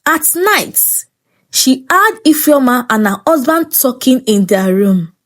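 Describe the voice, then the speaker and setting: A woman's voice: high-pitched, expressive, slow pace. A Nigerian woman narrating a scripted folktale as a dramatic storyteller.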